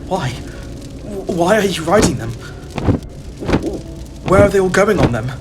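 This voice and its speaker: An actor playing a terrified narrator in a gothic horror audio drama, speaking haltingly and stammering with dread. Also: male voice, sits high, animated, over loud music. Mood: frightened confusion. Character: terrified male narrator summoned to an ancient family rite.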